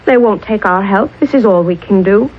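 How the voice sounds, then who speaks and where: A woman's voice: medium pitch, normal speed, loud music behind the voice, lightly acted. An actress playing an earnest, headstrong woman scientist in a radio drama, urgent and emphatic. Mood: concerned. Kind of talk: 1970s radio drama acting. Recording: dull old radio broadcast recording.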